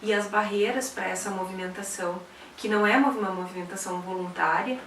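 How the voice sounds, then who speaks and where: A woman's voice: medium pitch, steady tone, fairly bright tone. A woman lays out legal points in a careful, academic way during an interview. She is recorded at some distance from the microphone in an echoey room. Faint background noise sits under her voice. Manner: measured, academic explanation. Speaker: expert interviewee. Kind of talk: explanatory interview. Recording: roomy, slightly distant mic.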